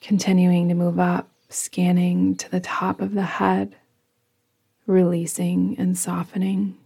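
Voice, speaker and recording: A woman leads a guided meditation very slowly and softly. Her voice is mellow and lowish, with a gravelly creak at the edges. She keeps an even, soothing flow that often thins into a breathy whisper. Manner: soft, breathy, hushed delivery trailing into whispers. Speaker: yoga nidra guide.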